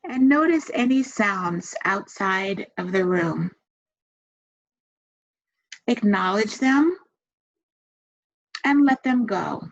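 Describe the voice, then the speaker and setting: Slow-paced woman guiding a meditation. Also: mid-range voice, animated. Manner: soothing, gentle instructions with long pauses. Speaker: meditation guide and massage therapist. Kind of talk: guided meditation. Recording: dull, muffled lo-fi sound.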